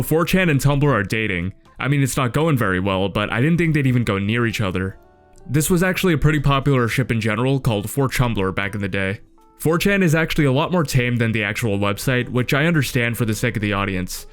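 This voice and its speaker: A man giving casual scripted commentary as the narrator of a video review. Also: mid-range voice. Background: music.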